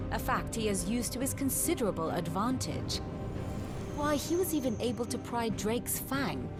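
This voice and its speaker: A woman's voice: medium pitch, natural voice. An actress playing an elegant woman mentor and strategist in a video game, speaking in an erudite, formal and archly theatrical way. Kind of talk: dramatic expository game dialogue.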